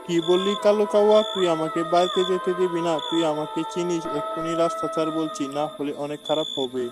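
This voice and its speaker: An actor voicing a poor, desperate peasant farmer in a cartoon who pleads and laments. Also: male voice, a little high, steady tone, fast, natural voice. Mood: defiant. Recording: background music.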